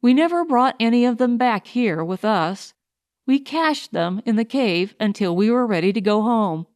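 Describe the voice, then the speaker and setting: A woman's voice: fairly high pitch, lively intonation, normal speed, darkish tone, dry recording, studio quality, lightly acted. A woman voicing a shrewd, defiant female Mars prospector in an audiobook, with a composed, wry manner. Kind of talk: audiobook character voice.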